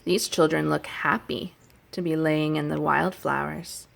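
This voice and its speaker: A woman who teaches young children, chatting and reading aloud gently in simple, unhurried phrases with little explanations. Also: sits low, steady tone, vocal fry. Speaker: childcare educator leading story and song time for toddlers.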